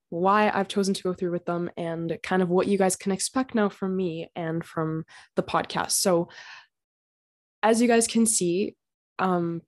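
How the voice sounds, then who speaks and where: A young woman hosting her own podcast, talking casually and earnestly, her throat slightly raspy from being sick. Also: on the low side, a little mellow. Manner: chatty and earnest, with fillers and small laughs. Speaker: podcast host. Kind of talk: casual solo podcast talk. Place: home.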